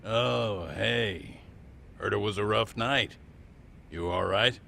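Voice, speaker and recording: An actor playing a genial, slightly sheepish law office boss in an English game dub, with a creaky voice. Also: male voice, on the low side, slow, sharp tone, a little hiss or hum, heavily acted. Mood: concerned.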